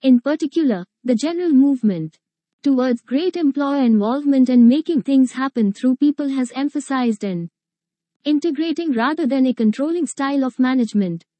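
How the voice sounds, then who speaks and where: A woman's voice: high-pitched, lively intonation, moderate pace, long pauses, mellow, no room sound. A woman reading a formal text aloud. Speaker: reader.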